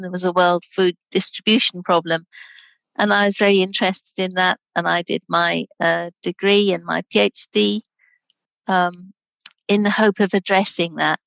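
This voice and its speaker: A woman in her sixties, originally from Scotland, chatting relaxed as a guest over a video call and laughing easily. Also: fairly low pitch, creaky, dry recording, poor audio. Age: 60s. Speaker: guest, charity director. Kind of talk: casual interview chat.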